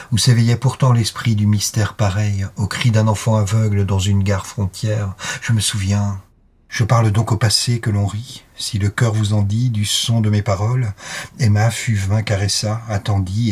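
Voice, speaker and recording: A man's voice: lowish voice, a little mellow, husky. A man reciting poetry dramatically, in short, insistent, incantatory phrases. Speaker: poetry reader.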